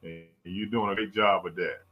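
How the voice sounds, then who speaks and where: A man's voice: low-pitched, fairly even intonation, normal speed, a crowd in the background. A man hosting a radio interview show, chatty and rambling with plenty of fillers. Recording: dull lo-fi sound.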